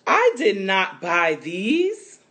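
A man reading a storybook aloud, voicing a busy, warm market mother who exclaims loudly and then dotes fondly. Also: mid-range voice, wildly swooping pitch, very slow, voice pushed up, exaggerated voice. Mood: alarmed.

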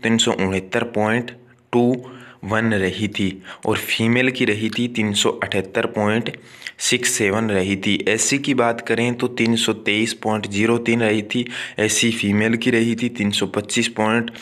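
A man's voice: fairly low pitch, level tone, a little slow, a bit bright. A man, walking viewers through an admissions website on a screen recording and restating figures as he goes. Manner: addresses viewers as guys, repeats and restates numbers with frequent "yaani ki". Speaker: online tutor for agriculture exams. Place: indoors, voice-over on a phone screen recording.

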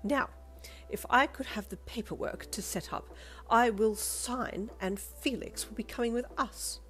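Storybook villain: entitled rich woman, acted by a female reader, condescending, imperious, pitched up. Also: measured pace, fairly bright tone, faint music behind. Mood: sneering, dismissive. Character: haughty, sneering, entitled wealthy woman customer.

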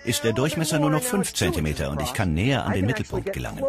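Male scientist, dubbed interview, brisk and animated, explains step by step. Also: on the low side. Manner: demonstrating, explanatory.

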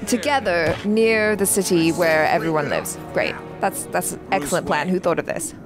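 A woman's voice: fairly low pitch, moderate pace, over music. A woman giving casual, wry and exclamatory commentary as a reaction host.

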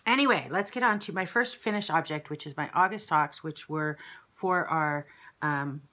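A woman in her fifties with a croaky throat, chatting at home to the camera as host of a knitting podcast, rambling with many fillers. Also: low-pitched, normal speed, sharp tone. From Canada. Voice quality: slightly croaky. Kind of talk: casual chat to camera. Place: kitchen at home, indoors.

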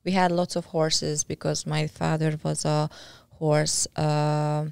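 A woman with a Hungarian accent speaks in a lowish, gravelly but smooth voice, friendly and quick to laugh. She sometimes hunts for the right English word. Her voice is close on a studio mic and completely dry. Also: flat delivery, a little mellow, dry recording. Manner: friendly, laughs easily, searches for words. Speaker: guest, judo athlete. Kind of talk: casual interview. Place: small podcast studio. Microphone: boom-arm studio mic with headphones.

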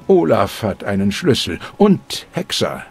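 A stern old fire-cult preacher, solemn and preaching, with a lively rise and fall in his voice. Game music plays softly underneath. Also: fairly low pitch, a little slow, creaky, exaggerated voice. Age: older. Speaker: game character. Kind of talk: dubbed video game dialogue.

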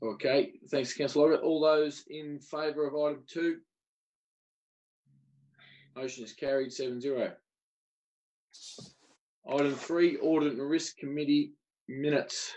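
A man's voice: a little high, steady tone, unhurried, pauses often, darkish tone, dull audio. A man with an Australian accent chairing a council meeting as mayor in short, procedural phrases.

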